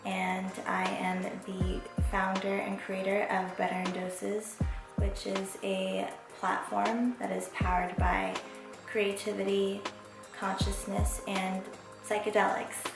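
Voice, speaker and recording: Gravelly-voiced young woman vlogging candidly. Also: medium pitch, completely flat delivery, slow. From Las Vegas, USA. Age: 20s. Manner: candid and reflective, casual swearing. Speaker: vlog host. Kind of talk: casual vlog monologue. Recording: echoey room with background music.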